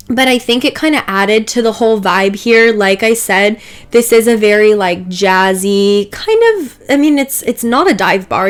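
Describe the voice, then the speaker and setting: A young woman getting over a cold, her voice a little stuffy and dry, chatting casually over a game build with many asides and "like"s. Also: medium pitch. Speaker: Sims build creator.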